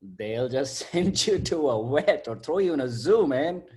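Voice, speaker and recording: A man's voice: medium pitch, lively intonation, a little slow, darkish tone, natural voice. A man playing a cheeky, laid-back sidekick in a comic table read, slangy and full of wisecracks. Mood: teasing. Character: cheeky, laid-back male sidekick teasing his buddy.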